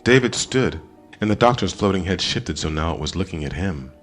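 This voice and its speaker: A man narrating an audiobook in a dramatic scripted style, expressive, with deliberate pauses. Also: low voice, faint music behind.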